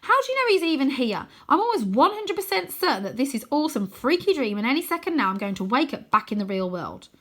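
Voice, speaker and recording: A woman's voice: high-pitched, sing-song, fast, hardly any pauses, a bit bright, pitched above the performer's own voice. A woman voicing a stubborn, scornful girl in a storybook, firm and sceptical in manner. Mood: firm, exasperated. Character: stubborn, bossy, scornful girl, a twin sister.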